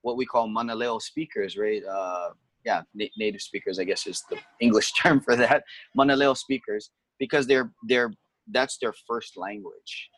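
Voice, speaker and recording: A man's voice: lowish voice, fairly even intonation, normal speed, a bit bright, no echo, rough recording. A man from Hawaii, a touring musician, talking casually and patiently explaining words. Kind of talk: casual conversation. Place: home, during lockdown.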